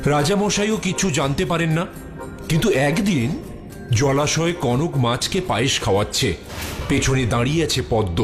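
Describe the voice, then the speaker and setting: A man's voice: on the high side, expressive, moderate pace. A man narrating a scripted fairy tale as a storyteller. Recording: music clearly behind the voice.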